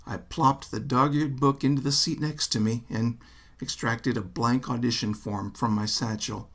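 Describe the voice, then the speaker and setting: A man's voice: medium pitch, fairly even intonation, moderate pace, a bit bright. A man narrating a scripted first-person story in a wry, wordy, theatrical manner. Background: plucked guitar music.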